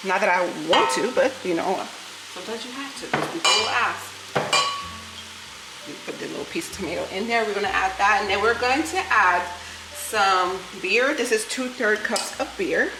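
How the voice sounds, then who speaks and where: A woman in her thirties, a native Spanish speaker with a New York accent and a Southern lilt, chats with her viewers as she cooks. Her voice sits at an everyday middle pitch. The kitchen is echoey, and a pan sizzles and frying sounds come clearly through behind her. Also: background noise. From New York City. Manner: chatty, laughs often, talks to viewers as "y'all". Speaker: vlogger. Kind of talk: casual chat while cooking. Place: home kitchen with food frying.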